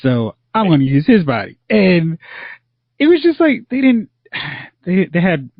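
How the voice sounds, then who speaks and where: A man's voice: sits high, wildly swooping pitch, measured pace, no echo. A man hosting a podcast in casual chat, opinionated and complaining with occasional sighs. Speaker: podcast host. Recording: dry, dull lo-fi audio.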